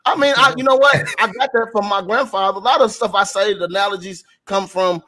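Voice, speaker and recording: High-voiced man on a preachy street-talk rant. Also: brisk, bright, forceful tone. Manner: frequent mild swearing. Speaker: talk show co-host. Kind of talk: casual opinionated rant to co-hosts and audience.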